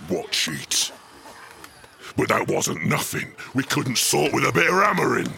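Gravelly, brutish ork boss. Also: male voice, mid-range voice, big pitch swings, a little slow, bright, forceful tone, slight background noise, exaggerated voice. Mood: irritable grumbling. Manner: bellowing, scornful, rough ungrammatical speech. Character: brutish, bullying male ork mechanic boss. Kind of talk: scripted fantasy audio drama acting.

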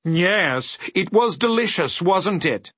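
A cheerful many-faced creature from a storybook. The voice sits far above a normal man's pitch and swoops about as it patiently explains, with sing-song emphasis. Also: animated, slow pace, a bit bright, husky, no echo, exaggerated voice. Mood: pleased.

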